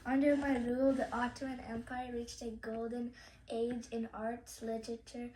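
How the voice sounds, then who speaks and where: A woman's voice: a little high, flat delivery, moderate pace, darkish tone. A young woman reciting a scripted history presentation in character as a ruler, in a declamatory way. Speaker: student presenter playing a sultan. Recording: echoey room with background noise.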